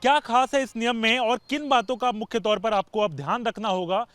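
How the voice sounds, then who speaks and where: A man's voice: very high voice, brisk, a bit bright, no room sound. A man reporting news in an explanatory, repetitive way.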